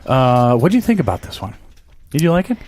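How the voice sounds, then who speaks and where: A man's voice: mid-range voice, big pitch swings, quick pace, a little mellow, slight background noise. A man hosting a casual podcast chat, joking and steering the talk with questions. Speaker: podcast host.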